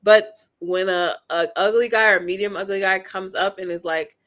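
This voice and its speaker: A woman talks frankly on a podcast at a middle pitch. She is blunt and swears freely. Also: steady tone, normal speed, a bit bright. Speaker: podcast co-host. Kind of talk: casual chat.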